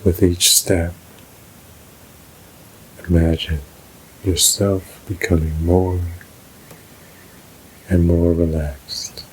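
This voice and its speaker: A man narrating a scripted guided meditation in a soothing, measured, unhurried way. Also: sits low, level tone, a little hiss or hum, low-quality recording.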